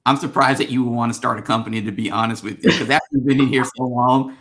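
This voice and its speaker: A man's voice: medium pitch, fairly even intonation, quick pace, fairly bright tone. A man hosting a casual interview show, asking rambling, friendly questions with plenty of ums and restarts. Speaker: podcast host.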